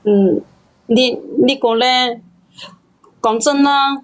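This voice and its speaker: A woman's voice: fairly high pitch, slow pace, rough recording. A woman calling in to confide, speaking hesitantly with stammers and justifying herself. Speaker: caller confiding in host. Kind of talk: confessional phone-in chat.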